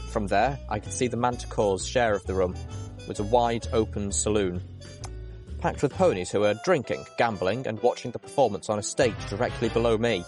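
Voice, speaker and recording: A man with a fairly low voice reads an adventure story aloud. He narrates it dramatically in the first person, as an audiobook reader, with music playing behind him. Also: a little mellow.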